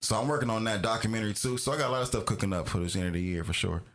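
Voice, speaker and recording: A man's voice: on the low side, racing, a bit bright. A man, co-host and rapper, talking casually in rambling, stop-start phrases. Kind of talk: casual chat.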